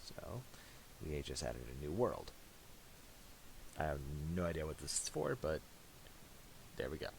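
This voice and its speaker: Male tutorial presenter, low and unhurried, halting and unscripted, casual delivery. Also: sing-song, vocal fry, darkish tone, poor audio. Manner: halting, thinking aloud. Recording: faint hiss and mouse clicks.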